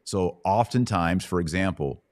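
Male podcast host, low pitch, dry sound, colloquial explainer of religious questions. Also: a little slow, no echo. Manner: explanatory, colloquial, emphatic repetition. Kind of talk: informal Bible question-and-answer teaching.

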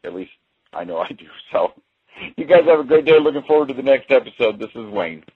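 Husky man on a phone line. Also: medium pitch, lively intonation, a little fast, no echo. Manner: upbeat, friendly. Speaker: listener calling in, podcaster. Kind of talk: casual voicemail message. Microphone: telephone. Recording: muffled, roughly band-limited phone voicemail.

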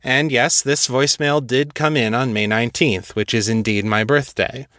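A man's voice: mid-range voice, fairly even intonation, moderate pace, fairly bright tone, dry recording, clean studio sound. A man narrating and presenting as a podcast host in a scripted, read-aloud style.

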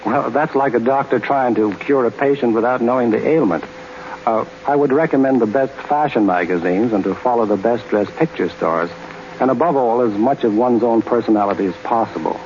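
A man giving measured, formal, slightly halting answers as a guest in a scripted 1930s radio interview. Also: mid-range voice, a little mellow. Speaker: interview guest, fashion and model expert. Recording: muffled, noisy 1930s radio broadcast.

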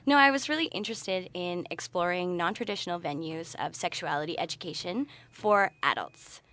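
A woman's voice: on the low side, normal speed, pushed and bright. A young woman answers interview questions as a podcast guest, casual yet articulate and explanatory. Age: young adult. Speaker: podcast guest, graduate researcher.

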